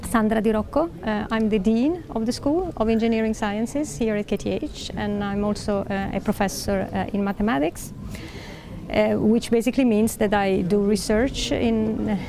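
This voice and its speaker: A woman's voice: mid-range voice, normal speed, background noise. A middle-aged woman from Italy, a professor speaking on a panel in a large hall, hesitant with many fillers and restarts. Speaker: professor and dean. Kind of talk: answering questions on a panel. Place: large library hall indoors.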